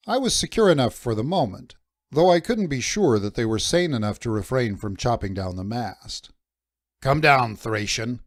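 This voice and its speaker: A man's voice: mid-range voice, no echo. Male audiobook narrator, very dry sound. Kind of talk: scripted first-person adventure narration.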